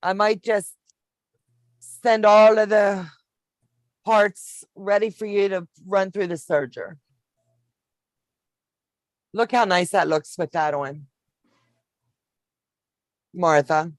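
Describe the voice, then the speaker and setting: A woman in her fifties hosting a sewing livestream, chatting warmly with viewers and explaining each step as she works. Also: medium pitch, steady tone, slow pace, long pauses, no room sound. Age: 50s. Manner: homey, calls viewers baby, narrates each step. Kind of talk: casual sewing demonstration chat.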